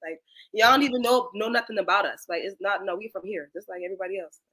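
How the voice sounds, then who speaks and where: A woman's voice: mid-range voice, quick pace, slightly rough, rough recording. A young woman with a South Carolina Geechee accent, talking in a casual, slangy way as a guest commentator. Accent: Gullah Geechee, South Carolina. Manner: animated, slangy, many "like" fillers. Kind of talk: casual chat.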